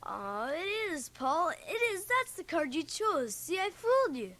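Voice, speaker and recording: A woman's voice: extremely high pitch, sing-song, unhurried, a bit bright, heavily acted. A woman playing an earnest, stubborn fourteen-year-old boy in a radio drama. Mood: patient, instructing. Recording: noisy cassette transfer of 1980 radio drama.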